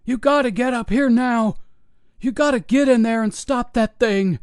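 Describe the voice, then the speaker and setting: A frantic old security guard, put on by a man, yelling and cursing at a raised, strained pitch. His voice jumps high and sounds stretched thin with fear. It is recorded dry and close. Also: normal speed, no echo. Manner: frantic, shouting, swearing.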